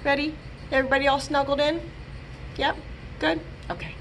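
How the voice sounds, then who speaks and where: A woman in her thirties, a children's librarian, reading a picture book aloud and leading songs for young children in a gentle, sing-song, expressive way, filmed on a webcam in a small room. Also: high-pitched, normal speed, a little hiss or hum, poor audio. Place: small room with bookshelves, indoors. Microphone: webcam at close range.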